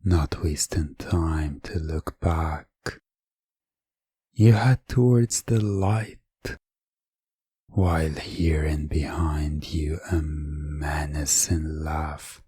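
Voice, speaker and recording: Very slow, low-voiced male storyteller. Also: mellow, breathy edge, no room sound, clean studio sound. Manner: measured, deliberate, dramatic pauses. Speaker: narrator. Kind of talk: second-person scripted story narration, hypnosis ASMR audio.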